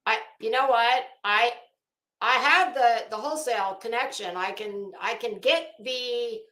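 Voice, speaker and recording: A woman's voice: fairly high pitch, a little slow, pushed and bright, roomy sound. A woman hosting a casual livestream, chatting to viewers in a rambling, opinionated, emphatic way. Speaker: livestream host.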